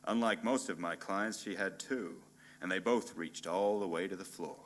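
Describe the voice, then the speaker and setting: A man playing a hard-boiled, pompous and bumbling private detective in a noir radio play parody, narrating in a deadpan, melodramatic way. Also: low voice, normal speed. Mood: deadpan brooding.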